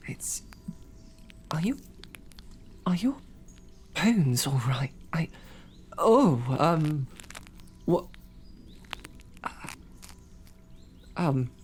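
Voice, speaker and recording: A woman's voice: low voice, big pitch swings, drawn-out words, long pauses, a little mellow, soft background music, exaggerated voice. A woman voicing a captive young woman knight who talks in a dry, formal, wryly understated way, in an audio roleplay drama. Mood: flustered. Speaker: voice actor.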